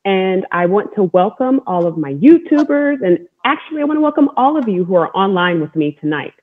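A woman's voice: medium pitch, sing-song, a little fast. An American woman speaking encouragingly as host to an online group, repeating words for emphasis, on a video call. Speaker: host, storytelling athlete. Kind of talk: welcoming talk to an online group. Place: room at home. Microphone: wireless earbud on video call. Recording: narrow-band video call audio.

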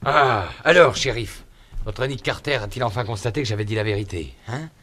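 A man's voice: fairly quick, slight background noise, lightly acted. An actor playing a hot-tempered accused man in a French radio drama, pleading, then bullying a witness. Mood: insistent. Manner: pleading then bullying, swings from low to shouting. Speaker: escaped prisoner Eric Anderson.

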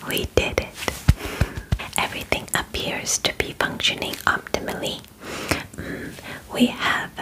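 A young woman whispers slowly in an ASMR roleplay, close to the microphone. She plays a polite alien examiner with formal, deliberate phrasing. Her delivery is clinical yet soothing and reassuring. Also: rough recording. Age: 20s. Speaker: ASMR performer. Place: small bedroom, indoors.

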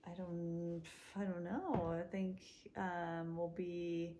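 A woman's voice: lowish voice, fairly even intonation, slow, mellow. A woman in her forties talking in a family home video, hesitant and thinking aloud, picked up by a phone camera across a living-room couch. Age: 40s.

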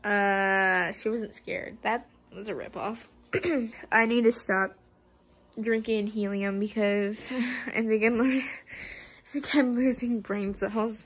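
A woman's voice: medium pitch, steady tone, slow, breathy edge. A teenage girl vlogging in a rambling, giggly, jokey way, chatting casually into a phone held at arm's length at home. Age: teens. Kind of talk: casual chat to camera. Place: rooms at home.

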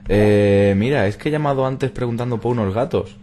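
A man's voice: mid-range voice, brisk, mellow. A young man with a Spain Spanish accent making a prank phone call in casual, stumbling, repetitive phrases. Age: young adult. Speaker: prank caller and video host. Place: small bedroom indoors. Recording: noisy hum behind voice.

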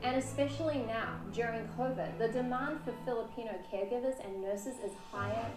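A woman's voice: on the high side, brisk. Earnest young woman narrating over music, roomy sound. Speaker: video presenter. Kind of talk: scripted narration. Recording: roomy playback of video with music. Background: clear background music.

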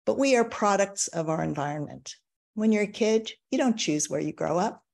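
An older American woman reads her personal essay aloud on a video call from a home study, measured and reflective, with emphatic turns. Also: lowish voice, a little mellow. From United States. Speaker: essayist reading her work.

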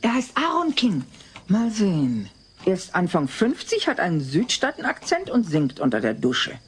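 A woman's voice: fairly low pitch, wildly swooping pitch, moderate pace, slight background noise. An actress playing a brash, gossipy neighbour woman in a dubbed sitcom, chatty and blunt. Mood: nosy, brash. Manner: chatty, blunt, sing-song greetings. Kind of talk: dubbed sitcom acting in an audio drama.

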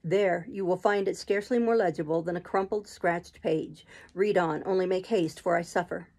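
A woman voicing a brooding, imperious gentleman in love, speaking in urgent, commanding declarations for a storybook. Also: sits high, fairly even intonation, moderate pace, vocal fry. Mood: pleading, tormented.

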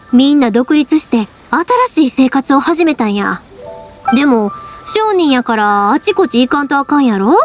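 A woman voicing a cheerful young fireworks maker in a game, speaking with a Kansai accent and a teasing, warm-hearted manner. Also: sits high. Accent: Kansai dialect. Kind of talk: video game voice acting. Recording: game audio with soft music.

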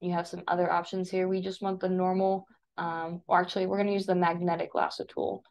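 An American woman teaching a step-by-step software tutorial in an informal, instructive way. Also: on the low side, level tone, brisk, vocal fry. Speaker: digital design teacher. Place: voice-over for a screen recording.

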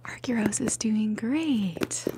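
A woman in her mid-twenties doing ASMR for live viewers in a soft, breathy whisper, chatting gently and repeating words. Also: on the high side, takes it slowly, heavy background noise. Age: 20s, mid-twenties. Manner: gentle repetition of words and sounds.

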